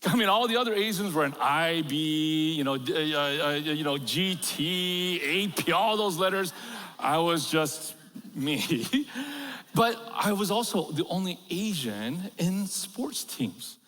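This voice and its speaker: A man's voice: a little high, animated, unhurried, a bit bright. Middle-aged man preaching with humor. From Korea, raised in America. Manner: humorous storytelling, laughs at own jokes. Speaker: pastor. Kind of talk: sermon. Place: large church auditorium. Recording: long reverb of a big hall.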